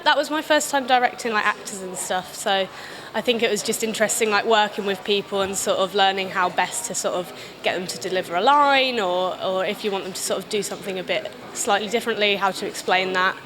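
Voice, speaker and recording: A woman's voice: mid-range voice, fairly quick, music in the background. A British woman giving casual interview answers as a film director, in a hesitant, rambling way. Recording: clear background noise.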